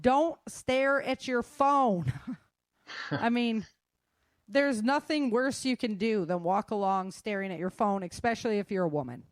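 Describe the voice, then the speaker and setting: A woman's voice: fairly high pitch, unhurried, no room sound, studio quality. A middle-aged woman talking casually and frankly, giving emphatic, informal advice as an instructor. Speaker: self-defense instructor and guest.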